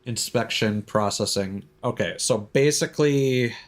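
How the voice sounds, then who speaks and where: A man's voice: mid-range voice, a little slow. A man giving casual live gameplay commentary as a streamer, thinking aloud as he plays. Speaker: game streamer.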